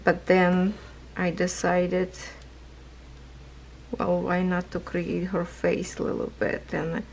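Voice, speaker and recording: A woman chatting casually about her cross-stitch projects, rambling, laughing often, with a hoarse voice as she is losing it. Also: fairly low pitch, completely flat delivery, takes it slowly, darkish tone, slight background noise, low-quality recording. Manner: rambling, non-native phrasing, laughs often. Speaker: craft vlogger. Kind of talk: casual chat while stitching.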